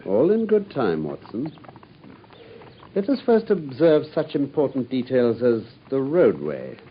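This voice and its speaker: An actor playing a brisk, sharp-minded male consulting detective in a radio drama, speaking in a clipped, wry manner. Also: medium pitch, huge pitch swings, slight background noise. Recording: dull old radio broadcast.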